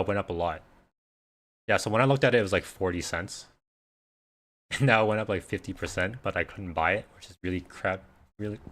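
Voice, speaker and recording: A man's voice: sits low, a bit bright. A man whose first language is Cantonese, hosting a casual livestream Q&A and talking in a rambling way with lots of fillers and restarts. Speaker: investing livestream host. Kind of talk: casual live Q&A chat.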